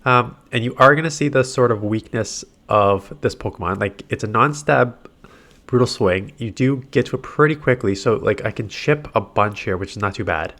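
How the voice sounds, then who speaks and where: A man's voice: fairly low pitch, normal speed, a bit bright. Congested man commenting on gameplay. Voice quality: nasal. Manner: chatty, filler-heavy, thinking aloud. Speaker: gaming commentator. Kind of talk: casual play-by-play commentary over game footage.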